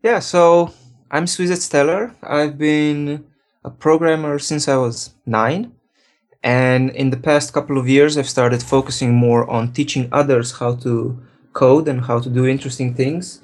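A man from Slovenia, a programmer, answering interview questions in a relaxed way, thinking aloud and correcting himself. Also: mid-range voice, measured pace. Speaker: programmer and guest.

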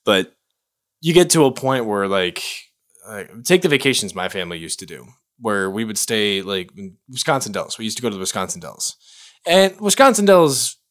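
A man's voice: lowish voice, expressive, moderate pace, a bit bright. An American man from the Chicago area co-hosting a podcast, explaining his points in casual conversation and often restarting as he goes. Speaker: podcast co-host.

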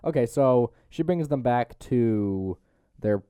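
A man's voice: medium pitch, lively intonation, takes it slowly, dark tone, smooth, dry recording, clean studio sound. A young man hosting a casual movie review podcast, talking in a joking, slangy way. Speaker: podcast host.

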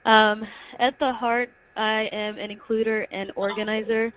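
Flat-toned woman from Somalia on phone. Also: a little high. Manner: earnest, measured, with soft fillers. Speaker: city official guest. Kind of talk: self-introduction on a call. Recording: phone line with faint noise.

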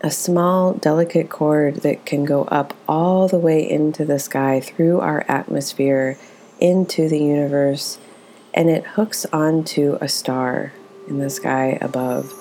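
Woman, very deep voice, guided meditation teacher, gentle and unhurried, light background noise. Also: fairly even intonation. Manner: gentle, soothing, unhurried instruction.

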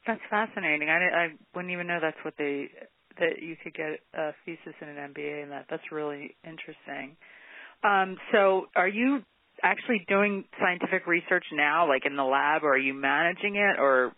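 A man's voice: on the high side, normal speed, no room sound. A man co-hosting a podcast interview, curious, asking long rambling questions. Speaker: podcast co-host. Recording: tinny phone-like line.

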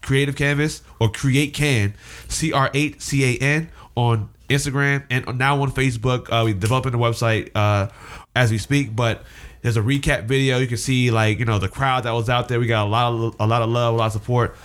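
A man's voice: mid-range voice, fairly even intonation, fairly quick, a bit bright. A man co-hosting a podcast, wheezy from a cold, talking in a laid-back, joking way with a lot of cursing. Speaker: podcast co-host. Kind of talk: casual podcast banter.

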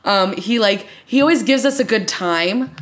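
A woman's voice: mid-range voice, normal speed. A woman co-hosting a podcast, chatting casually about television in an informal way, peppered with "like" and "you know". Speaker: podcast co-host. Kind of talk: casual TV review chat.

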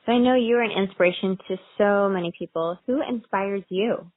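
A woman's voice: medium pitch, brisk, no echo. A woman interviewer asking questions and encouraging her guest with frequent mm-hmms. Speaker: podcast interviewer. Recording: dry tinny phone-like line.

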